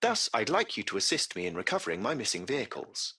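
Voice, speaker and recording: Game casting: a polite AI chauffeur, formal and precise, very animated pitch, bright forceful edge. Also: male voice, quick pace, creaky, husky, full character voice. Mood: formal, explanatory. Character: courteous AI taxi-service machine, formal and butler-like. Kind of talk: scripted video game voice acting.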